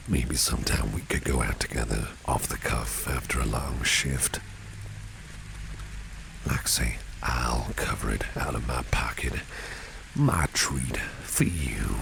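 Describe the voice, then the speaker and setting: A man playing a protective, flirtatious boss in an ASMR roleplay, speaking softly and suavely in a gravelly, breathy near-whisper. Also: rumbling low, heavily acted. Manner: slow, suave, soft-spoken. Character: protective, flirtatious male boss, smooth and courtly. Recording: steady rain noise behind the voice. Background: rain and street ambience.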